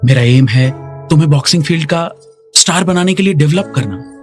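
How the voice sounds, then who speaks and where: A man dubbing a businesslike recruiter in a Hindi drama, speaking in a measured, formal, persuasive way. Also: fairly high pitch, soft background music, lightly acted. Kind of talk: Hindi dubbed drama acting.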